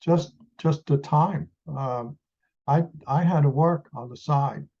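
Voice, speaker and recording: Dark-voiced man in his eighties from the Netherlands. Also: on the high side, takes it slowly, creaky, muffled recording. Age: 80s. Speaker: interview guest, retired engineer. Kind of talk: reminiscing in an interview.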